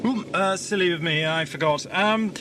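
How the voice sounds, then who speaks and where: A man's voice: high voice, moderate pace, sharp tone. Dry, urbane alien time traveller played by a man. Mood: flustered. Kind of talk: audio drama acting. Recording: noisy amateur 1980s audio drama.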